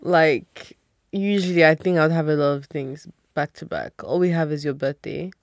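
A woman's voice: low-pitched, lively intonation, moderate pace, dry recording, studio quality. A woman in her twenties co-hosting a podcast, chatting in an easygoing way with lots of fillers. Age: 20s. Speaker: podcast co-host. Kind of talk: casual chat.

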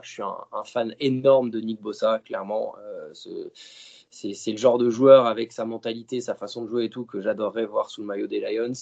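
Podcast guest, male, warm, rambling, muffled lo-fi sound. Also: medium pitch, gravelly. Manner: chatty, rambling, many fillers and restarts. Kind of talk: casual podcast chat. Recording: dull lo-fi sound.

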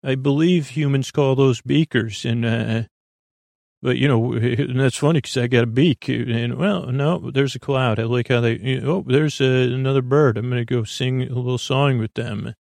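A man's voice: medium pitch, steady tone, normal speed, darkish tone, no echo, studio quality. A middle-aged man hosting a sleep podcast, meandering through tangents with many uhs and restarts. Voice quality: creaky. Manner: meandering, self-interrupting, lots of uhs and restarts. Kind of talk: rambling bedtime monologue.

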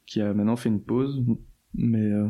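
A man's voice: lowish voice, fairly even intonation, normal speed, dark tone, highs cut off. A man, chatting casually as an interview guest, loose and slangy with lots of fillers and restarts. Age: 20s. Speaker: guest musician.

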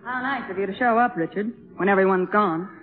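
A brittle, jealous young wife from a fifties radio play played by a woman. Her voice sits at an ordinary pitch, heard through a narrow, muffled vintage recording. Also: a little fast, natural voice. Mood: bitter scorn. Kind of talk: 1950s radio drama acting.

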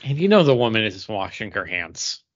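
A man's voice: lowish voice, sing-song, normal speed. Man chatting on a dull-sounding podcast. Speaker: podcast co-host. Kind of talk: casual chat among friends.

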